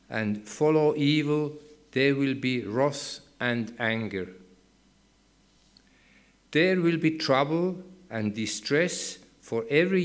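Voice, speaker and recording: A man with a Swiss German accent, reading a Bible passage aloud in a church service in a measured, careful way. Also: medium pitch. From Switzerland. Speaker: Bible reader. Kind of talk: slow scripture reading in church.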